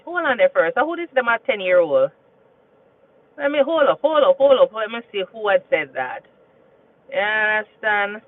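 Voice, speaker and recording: A talkative Jamaican woman hosting a relaxed livestream, answering viewers and drifting into stories, often checking in with "you understand?". Her voice comes through a thin, tinny, phone-like signal. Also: high voice, dry recording. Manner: chatty and storytelling, repeats phrases and asks "you understand?". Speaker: nurse and livestream host. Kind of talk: casual livestream chat reading viewer comments.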